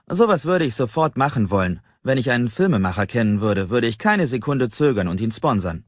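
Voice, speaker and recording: A man's voice: medium pitch, lively intonation, brisk, no echo, highs cut off, voice pushed up, natural voice. A man narrating an audiobook and voicing a playful young male entrepreneur with a boyish, theatrical, mock-pompous manner. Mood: earnest.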